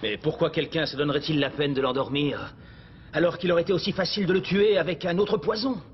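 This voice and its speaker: A man's voice: fairly high pitch, fast, lo-fi sound, a little hiss or hum, close to an everyday voice. A man playing a questioning, sardonic rebel sidekick in a cartoon. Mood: puzzled. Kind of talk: French cartoon dub acting.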